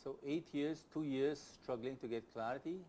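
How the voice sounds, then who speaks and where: A man's voice: mid-range voice, moderate pace, mellow, slight background noise. A man hosting a conversational interview, probing with questions and acting out hypothetical thoughts as he asks them. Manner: probing, rephrases in imagined first-person quotes. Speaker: talk show host, interviewer.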